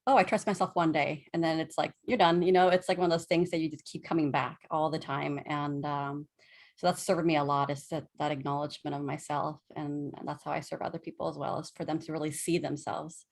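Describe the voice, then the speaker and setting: A woman's voice: deep voice, level tone, brisk. A woman who coaches others on self-trust, talking as a podcast guest in an earnest, conversational way. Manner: earnest, conversational, many fillers and self-quotes.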